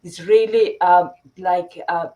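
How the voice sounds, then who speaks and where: A middle-aged woman from Chile, a company founder presenting her product in a webinar, often pausing with fillers and restarting sentences. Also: fairly low pitch, steady tone, mellow, low-quality recording. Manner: hesitant, many fillers and restarts.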